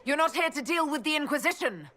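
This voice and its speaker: A woman's voice: sits high, expressive, fast, bright, forceful tone. An actress playing a bold young woman envoy in a fantasy game, speaking frankly and articulately. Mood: challenging. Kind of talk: fantasy game cutscene dialogue.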